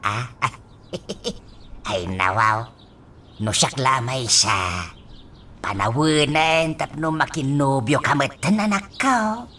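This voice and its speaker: A man's voice: medium pitch, expressive, takes it slowly, pushed and bright, slight background noise. An actor with a Filipino accent playing a kindly, teasing older father in a radio drama. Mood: fond, teasing.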